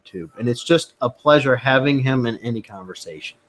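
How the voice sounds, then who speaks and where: A man's voice: mid-range voice, animated, fairly quick, a bit bright, poor audio. Easygoing male chat-stream host. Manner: easygoing, talkative, encouraging. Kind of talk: casual online discussion.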